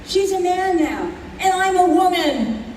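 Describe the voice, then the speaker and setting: Woman acting high-voiced, slow-speaking bewildered woman, roomy stage. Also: expressive, darkish tone. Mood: hesitant, tender. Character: sad, bewildered woman, a reincarnated man named Carl. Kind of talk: old-time radio play acting. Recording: roomy live stage recording, distant, faint noise.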